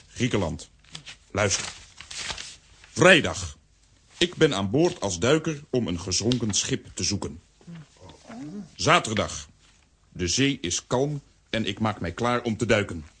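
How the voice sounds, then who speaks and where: Deep, clipped simple strongman. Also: fairly bright tone, a little hiss or hum, full character voice. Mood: matter-of-fact. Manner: clipped short sentences, refers to himself by name. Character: gruff, simple-minded strongman, clipped telegram-like speech. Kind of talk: Dutch-language children's radio play acting.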